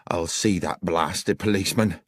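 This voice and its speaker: A man voicing an elderly village innkeeper, gruff and protective of his family. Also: low voice, moderate pace, no room sound. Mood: agitated. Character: elderly male innkeeper, protective, quick-tempered.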